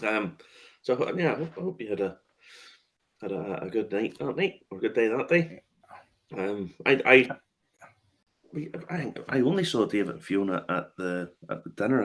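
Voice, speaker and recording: A man hosting a casual chat about whiskey tastings, relaxed, laughing often and swearing casually as he restarts his sentences. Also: on the low side. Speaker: host and whiskey tour guide.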